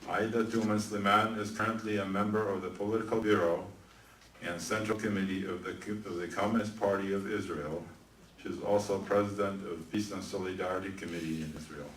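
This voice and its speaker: A man making announcements and introducing a speaker at a museum event, halting with many fillers. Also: deep voice, fairly even intonation, a bit bright. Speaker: event emcee. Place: museum event room with audience. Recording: echoey room from far off, noisy.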